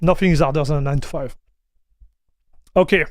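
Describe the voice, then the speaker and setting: Male streamer, high voice, smooth and dry, casual chat. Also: a little fast, no room sound. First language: French. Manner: chatty, laughs often, talks straight to chat. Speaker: streamer reacting to tech news.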